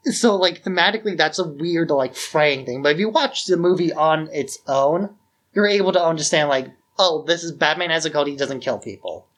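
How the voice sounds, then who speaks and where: A man's voice: on the high side, moderate pace, a bit bright. A man co-hosting a podcast in a casual film discussion, informal and given to acting out paraphrased dialogue. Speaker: podcast co-host.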